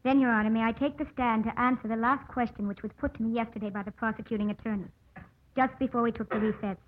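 A muffled vintage radio recording carries a rather high-voiced woman lawyer, played by an actress. She is earnest and resolute, with formal, emphatic delivery in the manner of classic radio drama. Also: fairly even intonation, fast, slight background noise, lightly acted. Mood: firm, formal. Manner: formal, emphatic courtroom oratory. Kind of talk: 1940s-50s radio drama acting. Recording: muffled old radio broadcast.

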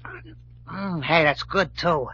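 A man's voice: a little high, huge pitch swings, slow pace, pushed and bright, slightly rough, dull audio, exaggerated voice. An actor playing a cocky, hungry American gunman who talks in wisecracking tough-guy slang, in old-time radio style. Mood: smug, relishing.

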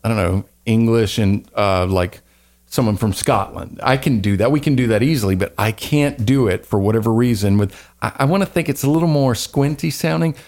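A man's voice: mid-range voice, fairly quick, a little mellow. Earnest rambling man, faint Southern accent. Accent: light Southern American. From American South. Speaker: podcast co-host. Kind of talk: casual chat and spoken prayer.

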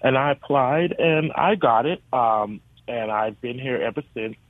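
A man's voice: medium pitch, fairly even intonation, a little slow, dry recording. A man from the US Virgin Islands, a theater education director, giving earnest answers as a radio interview guest. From US Virgin Islands (Saint Thomas). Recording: narrow phone-like radio line.

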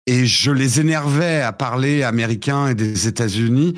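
A man in his fifties, raised in the United States with English as his first language, tells his childhood story in a lively, stop-and-start way. His voice sits at an ordinary middle pitch. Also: moderate pace. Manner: animated storytelling with frequent restarts. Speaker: guest. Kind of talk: casual interview chat.

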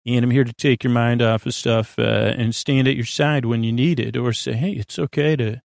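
A man with a gravelly, creaky voice talks close and dry into the microphone as a podcast host. He wanders through a sleepy bedtime monologue, doubling back and leaving thoughts half finished. His delivery is gently reassuring. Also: mid-range voice, fairly even intonation, no room sound. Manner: meandering, halting, often trailing off and doubling back. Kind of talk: rambling bedtime monologue meant to help listeners sleep.

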